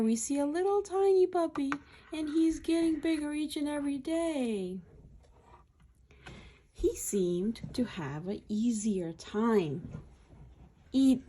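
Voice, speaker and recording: A woman's voice: on the high side, mellow, husky. A woman reading a children's picture book aloud in short, simple phrases, adding explanatory asides.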